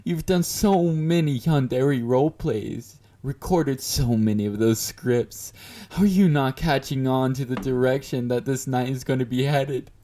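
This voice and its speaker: Casting: male actor for a clingy yandere admirer in audio roleplay, dark soft timbre, slow delivery, flirty and self-assured. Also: medium pitch, lightly acted. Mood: amused, unhinged. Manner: doting, confident, coaxing with pet names. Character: obsessive yandere male fan, clingy, flirty, confident stalker. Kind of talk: intimate roleplay monologue addressed to the listener.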